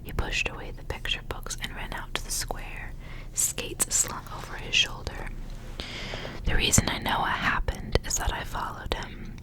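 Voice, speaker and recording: A woman reads a story aloud as ASMR in a breathy whisper, with soft, deliberate and gentle phrasing. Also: rough recording. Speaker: ASMR reader. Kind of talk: ASMR storybook reading with casual asides.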